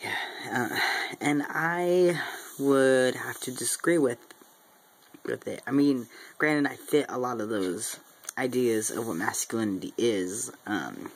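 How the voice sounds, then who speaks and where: A young man from Central Texas talks casually to his camera, his faint Texan accent surfacing now and then. He rambles and restarts his thoughts, filling pauses with ums. His voice sits at an ordinary middle pitch. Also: gravelly, poor audio. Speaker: regular vlogger. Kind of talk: casual vlog monologue.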